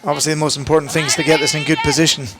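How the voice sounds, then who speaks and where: A man giving live commentary on a curling match, talking in halting bursts full of fillers and restarts. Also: fairly high pitch, little pitch movement. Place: curling arena. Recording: arena crowd noise behind.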